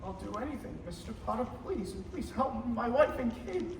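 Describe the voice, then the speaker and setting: A man's voice: very high-pitched, big pitch swings, normal speed, gravelly, heavily acted. A young man playing a desperate, pleading family man in a stage radio play, anguished and faltering. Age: teenager or young adult. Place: school theater stage. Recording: echoey stage, distant mic, faint noise.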